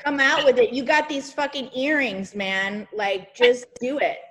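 A woman's voice: fairly high pitch, steady tone, measured pace, slight background noise, rough recording. Woman, podcast host, casual chat, rambling, encouraging, searching for words, video call.

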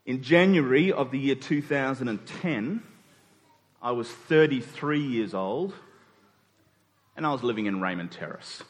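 A man in his forties with an Australian accent, preaching a sermon in a conversational, reflective storytelling way with pauses for emphasis. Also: medium pitch, animated. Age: 40s. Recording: long hall reverb.

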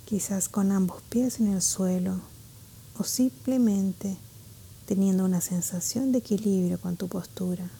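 A woman guiding a meditation in a calm, gentle, measured way, with a soft voice that turns breathy at phrase ends. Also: mid-range voice, slight background noise. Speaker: meditation guide. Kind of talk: guided meditation narration.

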